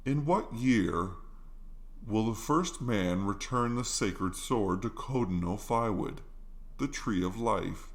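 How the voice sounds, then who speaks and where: A man voicing a solemn exam question in a storybook reading, slow and deliberate. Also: on the low side, expressive.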